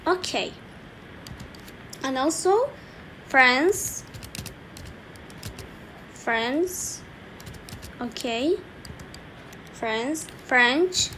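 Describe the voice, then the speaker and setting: A woman teaching an English lesson slowly, repeating each word and saying "okay" often, with keyboard typing faintly behind her. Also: high voice, animated, sharp tone, a little hiss or hum. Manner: slow, repetitive, saying each word twice with frequent "okay". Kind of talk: slow screen-recorded language lesson.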